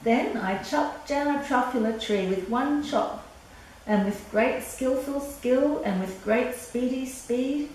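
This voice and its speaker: A woman voicing a secretive, wistful old recluse recalling his past in a children's storybook, with drawn-out, dramatic phrasing. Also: sits high, darkish tone, recorded from a distance. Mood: wistful, delighted. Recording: echoey room from afar, faint noise.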